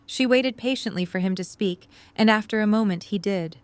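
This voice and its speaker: A woman's voice: mid-range voice, normal speed, smooth, dry recording. A woman reading scripted third-person narration for an audio drama.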